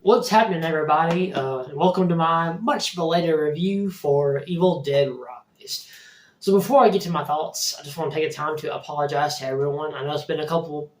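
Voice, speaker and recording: Hoarse male reviewer with a cold. Casual and rambling, often restarting. Recorded in an echoey room from a distance. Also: a little high, brisk. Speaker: movie reviewer, channel host. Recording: roomy echo, distant mic.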